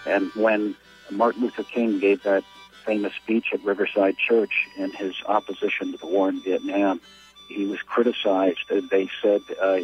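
An older man leaving a thoughtful, slightly halting voicemail as a caller. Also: fairly low pitch, muffled recording. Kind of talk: voicemail message.

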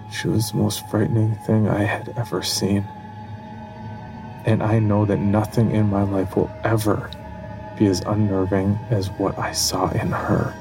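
Low creaky-voiced guy telling his horror story, grave and haunted, faint music behind. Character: haunted man recounting his terror and descent into madness. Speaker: narrator of a horror audio drama. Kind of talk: first-person horror storytelling.

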